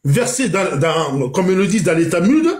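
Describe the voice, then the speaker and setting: A man's voice: high-pitched, very animated, moderate pace, darkish tone, reverberant. A man in an online voice-chat debate, hesitant and repeating himself as he stumbles over his words.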